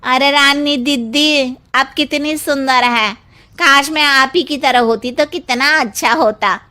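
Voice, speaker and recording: A woman plays a housemaid in a cartoon tale who longs to be beautiful. The voice is very high and sharp, drawn out slowly, with a gushing, plaintive way of flattering. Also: full character voice. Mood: gushing admiration. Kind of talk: cartoon family story acting.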